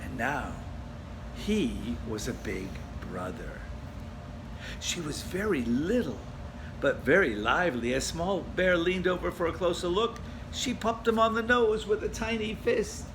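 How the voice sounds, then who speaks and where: A man's voice: fairly high pitch, lively intonation, a little slow, background noise. A man reading a children's story aloud dramatically, with asides addressed to the listeners. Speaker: storybook reader.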